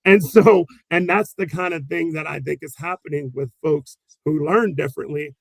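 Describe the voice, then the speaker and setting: A man, a special education teacher, answering interview questions in an animated storytelling way, laughing often and acting out quotes. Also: fairly high pitch, moderate pace, creaky, dry recording, poor audio.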